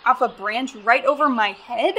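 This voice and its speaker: A woman's voice: fairly high pitch, normal speed, sharp tone. A young woman with an American accent in a radio play, acting a sarcastic, exasperated hiker. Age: young adult. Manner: sarcastic, incredulous.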